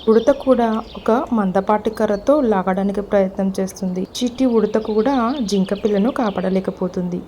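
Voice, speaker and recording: A woman narrating a children's cartoon story, speaking gently and expressively to the kids. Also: mid-range voice, fairly even intonation, fairly quick, slight background noise.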